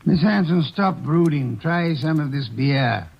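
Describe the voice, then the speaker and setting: An actor playing a portly, unflappable older detective who speaks in a measured, pompous way, in 1940s radio acting. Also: male voice, on the high side, expressive, vocal fry, slightly rough, heavily acted. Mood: smug. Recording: dull old radio broadcast recording.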